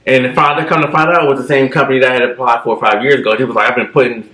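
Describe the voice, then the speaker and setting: A man's voice: medium pitch, rapid-fire. Thirty-something guy chatting laid back, says you know a lot, echoey room. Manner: relaxed storytelling with many fillers. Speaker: co-host. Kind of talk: casual chat.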